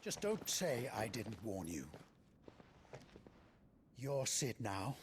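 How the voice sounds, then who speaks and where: An actor playing a gruff, worried resistance comrade in a video game, blunt and exasperated, with a gravelly voice. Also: male voice, medium pitch, big pitch swings, slow pace, noisy background. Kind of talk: video game cutscene acting.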